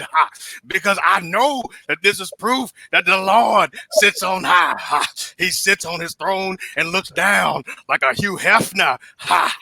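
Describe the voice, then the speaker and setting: A man playing an exuberant preacher hosting a pageant in a comedy table read, raspy and punctuating phrases with "ha". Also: high-pitched, sharp tone, dry recording, full character voice. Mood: fervent, gleeful. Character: exuberant flamboyant male preacher emceeing a pageant.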